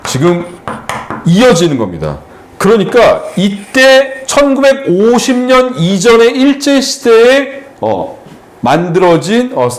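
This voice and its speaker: A middle-aged man tutoring exam literature, lecturing at a seminar in an explanatory way with frequent fillers and restarts. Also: high-pitched.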